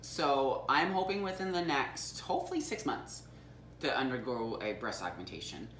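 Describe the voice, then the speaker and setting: A woman's voice: a bass voice, normal speed, fairly bright tone, slight background noise. A young transgender woman explaining her experience in a casual chat, candid and full of ums. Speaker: guest. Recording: echoey room, mic at a distance, faint ambience.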